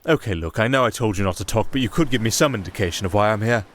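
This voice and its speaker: A nervous young castaway musician acted by a man in a fantasy audio roleplay, rambling and wry, quick to plead and quicker with sarcasm. Ambient noise runs clearly behind his voice. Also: fairly low pitch, fairly bright tone, lightly acted. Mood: wary, sardonic. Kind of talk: ASMR fantasy roleplay acting.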